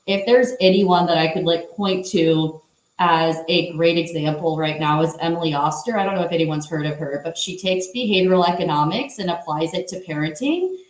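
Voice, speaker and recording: Low-voiced woman in an echoey room. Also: normal speed. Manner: fluent, explanatory, often adds a questioning "right?". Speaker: panelist, former teacher. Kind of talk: conversational panel talk. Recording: roomy echo.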